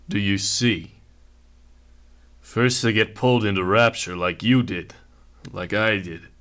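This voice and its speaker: A man's voice: lowish voice, sharp tone. An actor playing a disillusioned, brooding male security chief with an emphatic manner in a radio drama.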